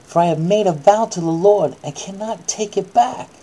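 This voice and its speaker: A woman voicing a grieving father from a Bible story, in melodramatic, drawn-out declamation. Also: medium pitch, warm tone, husky, voice pushed up, close to an everyday voice. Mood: anguished. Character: anguished Bible father, grieving adult man.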